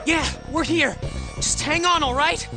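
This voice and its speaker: A woman's voice: high-pitched, measured pace, music in the background. A woman playing a desperate, fiercely protective young brother in a video game. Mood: desperate reassurance.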